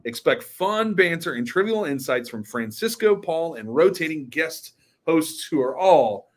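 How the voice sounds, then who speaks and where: Higher-voiced man co-hosting a podcast. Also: lively intonation, measured pace. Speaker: podcast co-host. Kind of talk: casual podcast banter.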